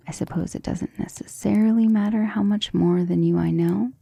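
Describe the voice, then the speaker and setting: A woman voicing a centuries-old vampire lover in an ASMR romantic roleplay, soft, breathy and often whispering, intimate and gentle, with formal wording. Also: on the low side, dry recording. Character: centuries-old female vampire lover, tender, protective, self-assured.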